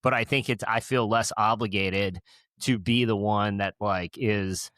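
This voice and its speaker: A man with a fairly low voice talks through his thoughts on a podcast in a rambling way, restarting his sentences often. The recording is close and dry. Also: steady tone, unhurried, fairly bright tone, no echo. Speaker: podcast co-host, podcaster by profession. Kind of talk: casual chat.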